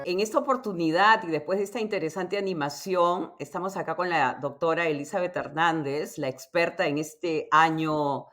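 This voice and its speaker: A woman hosting an academic discussion, speaking emphatically and deliberately with frequent tag questions. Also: lowish voice, fairly bright tone, soft background music. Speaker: host. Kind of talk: interview introduction, academic discussion.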